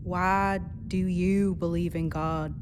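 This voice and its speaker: A woman's voice: lowish voice, fairly even intonation, takes it slowly, background noise. A young woman playing a brash, mocking twenty-one-year-old genius navigator in a radio play, sarcastic and flippant. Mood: sarcastic, irritated.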